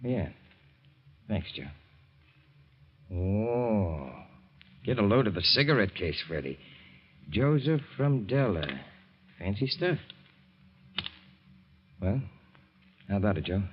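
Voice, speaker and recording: A man's voice: lowish voice, very animated, slow, pauses often, dull audio. A man playing a menacing, slangy gangster boss in an old-time radio crime drama, coolly confident and taunting. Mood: cool, commanding. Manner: slangy, tough-guy talk, taunting.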